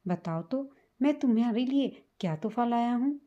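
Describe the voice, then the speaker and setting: A woman's voice: fairly high pitch, animated, moderate pace, mellow, muffled recording, natural voice. A woman voicing a caring older husband in a dramatic story, speaking in a coaxing, earnest way. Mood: playful, tender.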